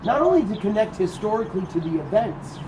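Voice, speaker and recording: A man with a booming voice guiding a tour group outdoors, explaining in a lively conversational way. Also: fast. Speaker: tour guide. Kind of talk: guided tour talk. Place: outdoors at a garden site. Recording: outdoor street noise.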